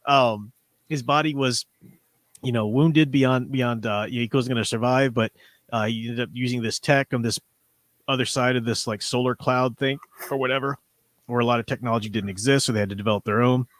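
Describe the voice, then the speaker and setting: A man's voice: medium pitch, fairly even intonation, a little fast, fairly bright tone, no echo. A man hosting a livestream, talking casually about comics in a rambling, hedging way. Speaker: livestream host.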